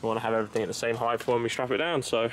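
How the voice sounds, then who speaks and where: Young male sailing vlogger, casual on-the-job commentary, action-cam audio with clear water and wind noise. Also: medium pitch, fairly even intonation, racing. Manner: chatty, running commentary while working on a boat. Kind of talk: casual vlog narration. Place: outdoors on a sailboat and boat ramp. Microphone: action camera. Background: boat, water and wind noise.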